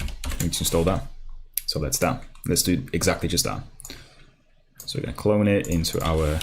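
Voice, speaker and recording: Low-voiced Brazilian-born man live-coding. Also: a little hiss or hum. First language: Portuguese. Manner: casual, rambling with many fillers and restarts. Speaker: programming livestream host. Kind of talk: live coding tutorial, thinking aloud. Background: keyboard typing.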